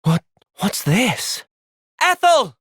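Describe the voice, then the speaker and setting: A man voicing an earnest, thoughtful young fighter in a video game, measured and sincere but capable of impassioned intensity. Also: sits high, huge pitch swings, fairly bright tone, husky, no room sound, studio quality, exaggerated voice. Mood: urgent, defiant. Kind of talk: video game cutscene voice acting.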